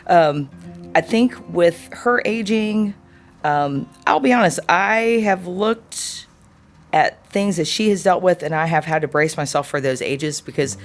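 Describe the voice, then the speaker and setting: A woman in her forties answering an interview question in a thoughtful, earnest way, often pausing to search for words. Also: lowish voice, faint music behind. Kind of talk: reflective personal interview answer.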